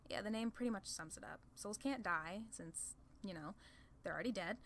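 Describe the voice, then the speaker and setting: A woman's voice: medium pitch, moderate pace, fairly bright tone, natural voice. A playful demon queen of hell, played by a woman, talks in a mid-range female voice. She is casual and sardonic, proud and fond of teasing, as in an ASMR audio roleplay. Some noise sits behind the voice. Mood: wry, matter-of-fact.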